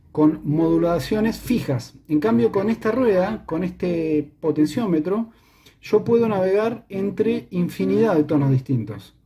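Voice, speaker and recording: A man with an Argentine Spanish accent giving a methodical hands-on tutorial, repeating and rephrasing as he goes, his voice run through a voice changer. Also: warm tone. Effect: live voice changer, shifting in pitch and robotic tube-like tone. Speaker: electronics maker giving a demonstration.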